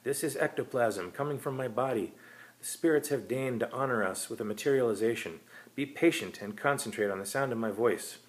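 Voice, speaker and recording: A man reading a short story, voicing a female spirit medium with a calming, hypnotic, theatrical delivery. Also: medium pitch, brisk, voice pushed up, natural voice. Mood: mystical, assured. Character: sham female spirit medium, solemn and hypnotic, secretly cynical.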